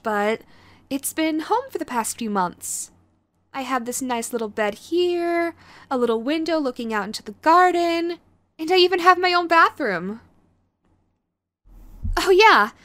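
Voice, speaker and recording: Woman performing a clingy yandere girlfriend, high voice, sweet, nervous, apologetic, halting, audio roleplay, dry sound. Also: bright, forceful tone, no room sound, exaggerated voice. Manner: halting, gushing, apologetic, swinging between eager and sheepish. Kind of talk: romantic audio roleplay, acted to the listener.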